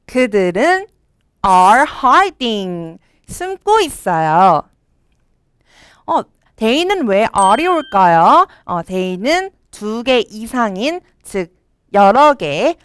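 A young woman teaching children English from a storybook, reading each line slowly and clearly and then explaining it. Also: a little high, fairly bright tone, no room sound. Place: studio with a green screen.

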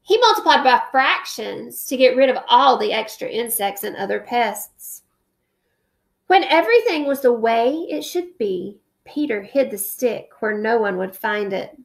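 A woman's voice: on the high side, big pitch swings, measured pace, creaky. A woman in her thirties, a teacher, reading a picture book aloud with expression and then explaining a math lesson, recorded at home on a webcam. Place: living room at home, indoors. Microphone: webcam at close range.

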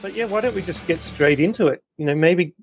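A man's voice: sits high, fairly quick, creaky, faint music behind, rough recording. A man hosting a podcast, introducing guests and interviewing them conversationally. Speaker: podcast host.

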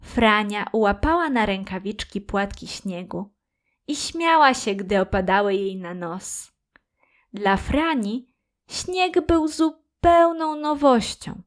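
A woman narrating a children's story in a calm, gentle, careful way. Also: medium pitch, slow.

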